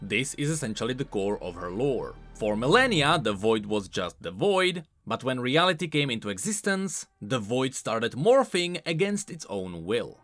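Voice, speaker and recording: A man hosting a game lore video essay, explaining in an expressive, informal and emphatic way, scripted but with casual asides. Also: medium pitch, normal speed, few pauses. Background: background music.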